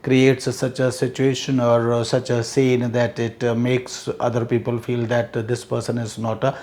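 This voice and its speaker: A man's voice: mid-range voice, monotone, normal speed, darkish tone. A man from India, whose first language is Hindi, speaking English with an Indian accent in an earnest, hesitant talk to camera, full of "uh" fillers and restarts. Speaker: instructor.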